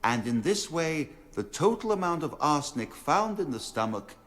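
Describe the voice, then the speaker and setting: An actor playing an assured gentleman scientist giving expert evidence in a radio courtroom drama, formal and precise. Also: a little high, sing-song, moderate pace. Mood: precise, explanatory.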